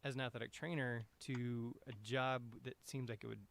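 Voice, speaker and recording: A man's voice: medium pitch, fairly even intonation, normal speed, vocal fry, fairly bright tone. A male host guides a podcast interview in a casual way. He phrases his questions loosely and conversationally, handing the floor from one guest to the other.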